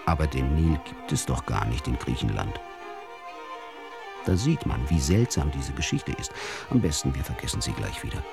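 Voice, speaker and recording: Male narrator, low register, expressive melody, dry measured delivery of absurd literary tales, music underneath. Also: gravelly. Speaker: narrator of short absurd stories. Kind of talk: scripted literary narration for radio drama.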